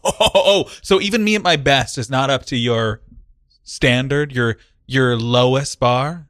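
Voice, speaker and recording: A man's voice: medium pitch, measured pace, fairly bright tone, dry recording, studio quality, close to an everyday voice. A man improvising a wounded salesman in a comedy scene, sputtering and offended at his coworker. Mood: indignant.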